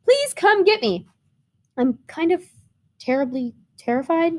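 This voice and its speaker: A woman voicing a frightened but chummy glow-in-the-dark crayon in a storybook, nervous and pleading. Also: very high voice, animated, measured pace, voice pushed up.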